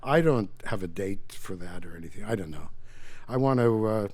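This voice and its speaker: An older man whose first language is Yiddish, talking as an author in a casual interview, emphatic and digressive with halting restarts. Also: fairly low pitch, expressive, a little mellow.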